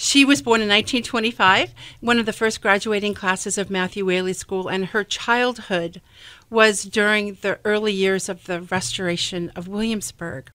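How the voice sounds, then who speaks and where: A woman's voice: medium pitch, pushed and bright, dry recording. A woman explaining things articulately in a radio interview. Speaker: radio show cast member and history writer.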